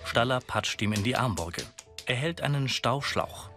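A man's voice: mid-range voice, animated, moderate pace, over music. A man reading scripted audio description narration of screen action.

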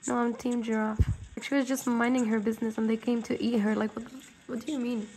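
A woman's voice: on the high side, level tone, fairly quick. A young woman from northern Morocco, vlogging her travels in a chatty, upbeat way while talking to her phone. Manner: chatty, upbeat, talks straight to camera. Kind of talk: casual travel vlog. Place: busy outdoor markets and streets of an old city. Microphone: phone held at arm's length. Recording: loud street crowd and traffic noise. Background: loud crowd and traffic.

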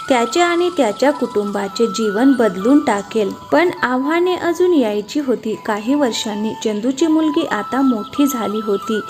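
High-pitched woman narrator over music. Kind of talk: scripted story narration.

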